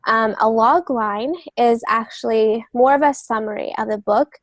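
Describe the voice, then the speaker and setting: A woman's voice: fairly high pitch, steady tone, moderate pace, no echo. A woman co-hosting a podcast, explaining things step by step in an informative, conversational way. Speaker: podcast co-host, literary agent.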